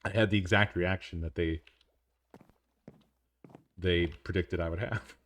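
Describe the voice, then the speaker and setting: A man with a deep, monotone voice, talking in an unhurried, casual way while he streams a game.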